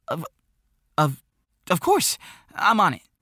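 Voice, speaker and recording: A man's voice: high-pitched, wildly swooping pitch, slow, bright, forceful tone, no room sound, clean studio sound, voice pushed up, full character voice. A man voicing a polite, awkward and eager teenage boy in an audiobook. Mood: nervous.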